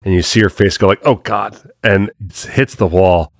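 Male co-host with a lowish voice, agreeable, conversational, recorded dry and close. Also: steady tone, moderate pace, creaky, dry recording. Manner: agreeable, interjecting. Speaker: podcast co-host. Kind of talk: casual chat.

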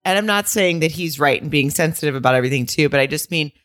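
A woman's voice: sits low, fast talker, a bit bright, dry recording, studio quality. A woman co-hosting a casual recap podcast, joking and laughing often with rambling asides. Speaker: podcast co-host.

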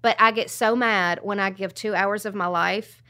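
A woman author chatting casually on a podcast, filling her talk with little pauses and quick laughs. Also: mid-range voice, fairly even intonation, pushed and bright. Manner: chatty, laughs often, trailing fillers. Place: recording studio.

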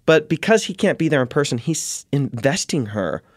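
A man speaking as a guest scholar in an interview, explaining in an animated way and acting out questions and quotes. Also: on the high side, moderate pace, no room sound, studio quality.

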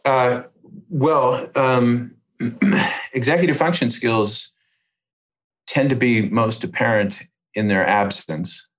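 A man speaking as an expert interview guest, explaining in precise, measured academic phrases with deliberate pauses. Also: mid-range voice, a bit bright, low-quality recording.

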